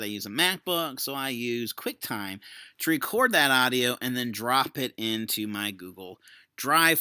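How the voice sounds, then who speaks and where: A man's voice: medium pitch, sharp tone, no room sound, studio quality. A man giving a casual screen-share tutorial as an educator, explaining things conversationally. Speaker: educator demonstrating a software tool.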